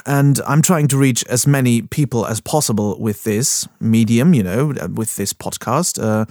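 A man's voice: mid-range voice, darkish tone, no echo, studio quality. Chatty German man hosting a podcast. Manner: rambling, self-deprecating, many fillers and restarts. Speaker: podcast host. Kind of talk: casual podcast intro monologue.

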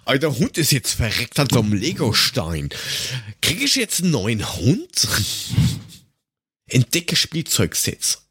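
A man with a husky voice chats casually as a podcast co-host. His intonation swings widely, full of sarcasm and crude slang. The sound is dry, with no room around him. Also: medium pitch, huge pitch swings, unhurried, a bit bright, no echo. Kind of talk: casual chat, humorous banter.

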